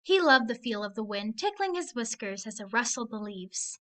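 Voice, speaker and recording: A woman narrating a children's story aloud in an expressive read-aloud style. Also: fairly high pitch, moderate pace. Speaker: storybook reader.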